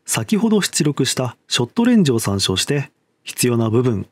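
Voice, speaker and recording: A man's voice: medium pitch, big pitch swings, moderate pace, darkish tone, no room sound. A man presenting as a lead animator, reading a scripted technical explanation in a formal, polite manner.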